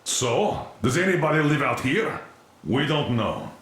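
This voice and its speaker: A man acting a stern, weary military commander in a video game, gruff, authoritative and sardonic. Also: a little high, lively intonation, measured pace, fairly bright tone, very echoey. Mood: sardonic, insistent.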